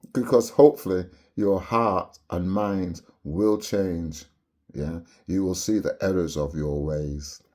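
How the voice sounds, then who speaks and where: A man's voice: fairly low pitch, a little mellow. A Barbadian man delivering an impassioned, preachy monologue to camera, peppering his points with rhetorical "yeah?" tags. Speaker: vlogger.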